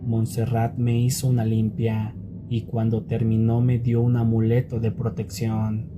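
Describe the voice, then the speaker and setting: A man reading scripted scary stories as a narrator, in a measured and deliberate storytelling manner. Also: lowish voice, monotone, over music.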